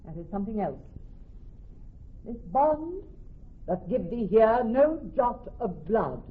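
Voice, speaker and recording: A woman playing a clever young woman posing as a male judge in classical radio drama, speaking in a measured, formal, authoritative way. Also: a little high, big pitch swings, breathy edge, full character voice. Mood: stern, commanding. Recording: thin, narrow-band old radio broadcast.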